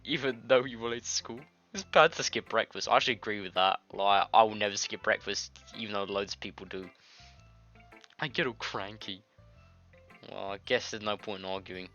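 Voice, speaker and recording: A man with a cold reads a visual novel aloud in a very sharp, bright voice, dropping in jokey, informal asides. His pitch moves around a lot. The recording is a little dull and lo-fi, with soft music playing behind him. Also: moderate pace. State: congested with a nasty cold, coughing. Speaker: video game let's-player. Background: game music.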